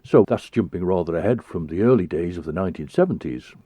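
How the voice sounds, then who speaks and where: Male narrator, measured storyteller, low and animated, dry close read. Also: no room sound. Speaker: documentary narrator. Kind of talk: scripted radio narration.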